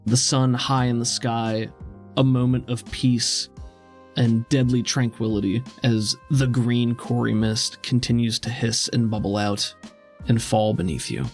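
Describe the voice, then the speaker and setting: A man narrates a tabletop role-playing game as its game master, with a medium-pitched voice. He paints scenes in a descriptive, cinematic way, with some music behind him. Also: little pitch movement, unhurried, vocal fry.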